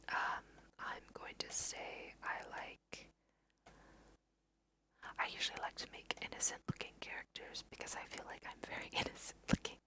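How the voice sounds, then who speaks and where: Woman whispering slowly, ASMR style. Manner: soft-spoken, unhurried, thinking aloud. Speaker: let's play host. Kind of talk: whispered ASMR game commentary.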